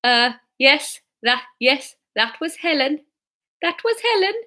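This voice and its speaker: Flustered Geordie man for a story, acted by a female reader: hesitant, nervous, high for a male part. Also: sing-song, slow pace, bright, forceful tone, full character voice. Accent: Geordie, put on. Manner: hesitant, stammering.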